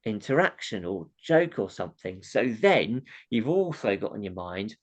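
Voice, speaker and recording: A man's voice: fairly low pitch, very animated, normal speed, lo-fi sound. A man with a nasal voice, a comedian chatting casually as an interview guest, laughing often and acting out how audiences react.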